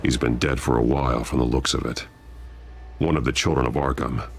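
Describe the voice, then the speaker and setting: An extremely low, gravelly voice of a grim masked vigilante in a video game. He speaks in terse, deliberate phrases with stern menace. Ambient noise sits behind the voice. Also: steady tone. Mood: grim, focused. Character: grim masked vigilante detective, adult man.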